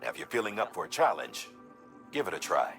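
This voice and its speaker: An actor playing an authoritative commander in a video game, giving an encouraging, businesslike briefing. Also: male voice, low voice, sing-song, brisk, fairly bright tone, faint music behind. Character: authoritative male commander briefing a field courier.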